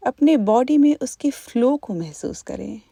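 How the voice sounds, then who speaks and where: A woman leading a guided meditation as an instructor, in a calm, gentle, unhurried manner. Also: sits high, gravelly, no room sound, clean studio sound.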